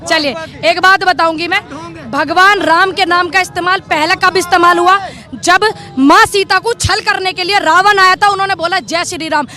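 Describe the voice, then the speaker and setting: A woman at an outdoor street debate, arguing forcefully and firing rhetorical questions. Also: very high voice, fairly even intonation, normal speed. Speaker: member of the public at a street debate.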